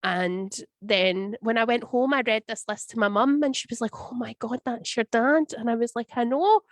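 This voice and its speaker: A Scottish woman in her thirties with a cold that leaves her voice husky, answering interview questions in a chatty, wry way over a video call. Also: a little high, moderate pace, no room sound. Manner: chatty, wry, tells stories with quoted voices. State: husky voice from a cold, sniffling and coughing. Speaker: guest.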